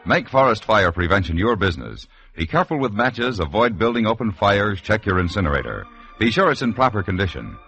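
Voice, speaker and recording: An American man delivers a scripted public service spot on vintage network radio. He sounds earnest and instructive, with a lowish voice, lively inflection and music clearly behind him. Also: fairly quick, lo-fi sound.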